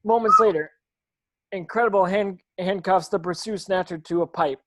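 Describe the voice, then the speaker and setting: A man's voice: sits high, moderate pace, fairly bright tone. A man narrating stage directions from a script at a table read, sometimes tripping over words.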